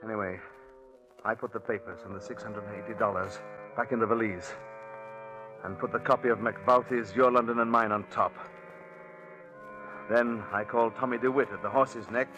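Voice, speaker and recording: A man narrating a 1940s radio suspense drama in a measured, confiding storyteller's manner. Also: mid-range voice, fairly even intonation. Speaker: narrator and lead actor. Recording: dull old radio broadcast with music.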